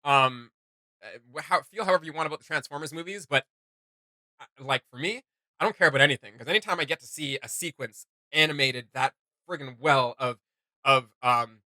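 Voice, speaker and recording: A man's voice: fairly high pitch, a little fast, sharp tone, no echo. A man hosting a fan reaction show, giving casual, slangy and enthusiastic commentary.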